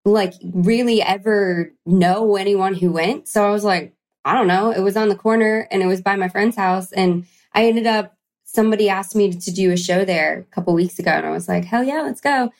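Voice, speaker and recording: A woman's voice: mid-range voice, moderate pace. A woman country singer, a guest in a casual interview, chatting and telling upbeat stories.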